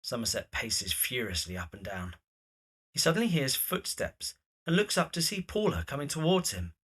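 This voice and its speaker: A man's voice: mid-range voice, big pitch swings, moderate pace, a bit bright, breathy edge. A man reading scripted, present-tense scene narration for an audio drama.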